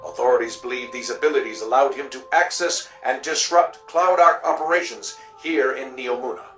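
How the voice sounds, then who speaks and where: A man playing a formal, solemn reporter reading a scripted sci-fi news bulletin in a video game with measured announcing. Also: medium pitch, fairly bright tone, reverberant, far from the mic, faint music behind, natural voice.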